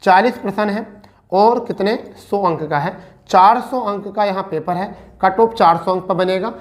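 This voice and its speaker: A man's voice: high voice, normal speed. A man teaching an informal online class, addressing students in a reassuring, instructive way and repeating key points for emphasis. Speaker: teacher giving exam updates to students.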